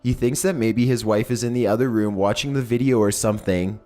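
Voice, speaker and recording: A man reading scripted creepy stories in a slow, measured, ominous way. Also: lowish voice, a little mellow, faint music behind. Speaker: narrator.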